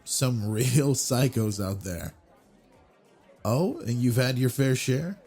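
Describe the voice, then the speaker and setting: A man voicing an obsessive yandere man on a blind date, talking with quiet, smooth charm in a scripted audio roleplay, close on the mic. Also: medium pitch, animated, slow, soft background music. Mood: flirty. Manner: quiet brooding inner monologue, then smooth flirty charm.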